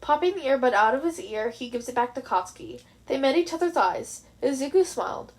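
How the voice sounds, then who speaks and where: High-voiced woman narrating a story. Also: lively intonation, a little fast. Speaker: fan fiction narrator.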